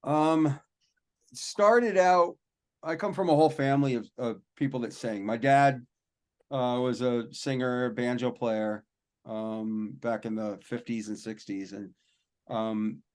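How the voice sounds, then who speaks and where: A man, a rock and metal singer, telling stories from his life in a relaxed, rambling, self-deprecating way during a podcast interview. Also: mid-range voice, slow pace, smooth. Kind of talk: casual interview storytelling.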